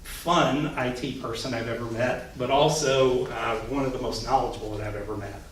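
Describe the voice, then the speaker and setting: A man's voice: mid-range voice, fairly even intonation, normal speed, a little hiss or hum. A man hosting an event, making friendly announcements and introducing the next speaker. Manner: upbeat and friendly, a few stumbles. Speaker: event host. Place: coworking space event room. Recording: echoey room, mic at a distance.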